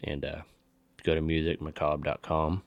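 Male podcast co-host, extremely low pitch, gravelly fry, informal and laid-back. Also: level tone, moderate pace, no echo, lo-fi sound. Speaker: show co-host. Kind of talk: casual podcast chat.

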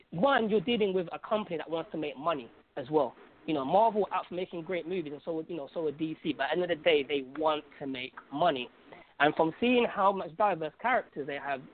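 High-voiced man on a tinny call line. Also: a little hiss or hum. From Algeria, North Africa. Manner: emphatic, slangy, repeats phrases. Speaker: guest caller on a radio podcast. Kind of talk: casual opinionated chat. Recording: phone-like, narrow tinny call sound.

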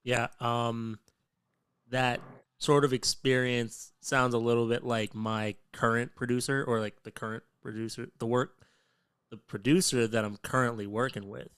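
A man's voice: medium pitch, little pitch movement, moderate pace, fairly bright tone, smooth, no room sound, clean studio sound. A young adult man hosting a podcast, talking in a laid-back way with lots of filler words. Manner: laid-back, many fillers like "like" and "um", swears casually. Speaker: podcast host. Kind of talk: casual chat.

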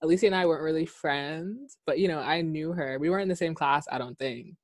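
Low-voiced young woman chatting casually. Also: quick pace, no room sound, studio quality. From Bahamas. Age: 20s. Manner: chatty, laughs easily. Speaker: podcast co-host. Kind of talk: casual chat. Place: her own room.

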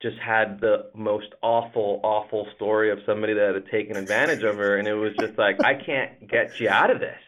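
A man's voice: on the low side, steady tone, fairly quick, hardly any pauses, a bit bright, lo-fi sound. A man in his thirties from Puerto Rico and the US East Coast, telling stories casually and self-deprecatingly as an interview guest. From Puerto Rico, Dominican Republic and US East Coast. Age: 30s. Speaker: podcast guest, Navy veteran in commercial real estate.

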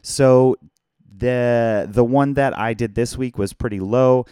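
A hoarse, tired man hosting a casual live-streamed podcast from his phone, rambling and chatty as he thanks viewers by name. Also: mid-range voice, slow pace, dark tone, no echo, clean studio sound. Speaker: podcast host.

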